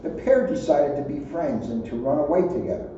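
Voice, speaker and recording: A man's voice: on the high side, animated, a little fast, warm tone. A man reading a children's storybook aloud, adding his own offhand asides. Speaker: police officer reading aloud. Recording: muffled, very echoey, distant, faint noise.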